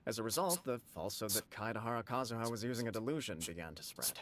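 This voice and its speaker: An actor playing a formal, persuasive male government official in a video game, speaking in a deliberate way. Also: mid-range voice, lively intonation, fairly bright tone, close to an everyday voice. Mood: explaining.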